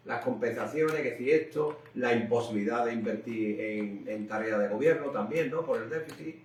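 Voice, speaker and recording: A man in unscripted radio discussion, haltingly repeating phrases as he reasons. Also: medium pitch, distant mic. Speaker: radio co-host discussing current affairs. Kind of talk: unscripted conversational political discussion. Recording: very echoey room, off-mic, faint noise.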